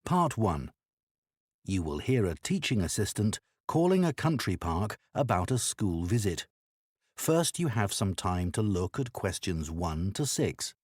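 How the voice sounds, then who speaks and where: Formal low-pitched man, lively intonation. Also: slightly rough, no echo, clean studio sound. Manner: formal, measured. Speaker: exam announcer. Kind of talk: scripted exam instructions.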